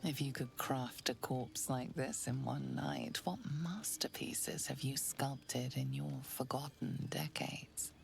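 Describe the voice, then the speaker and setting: A woman playing a grave, sinister storyteller, narrating a dark fantasy game in a measured, intimate, ominous way. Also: very deep voice, fairly even intonation, creaky, slight background noise, full character voice. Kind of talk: scripted second-person dark fantasy narration. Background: music.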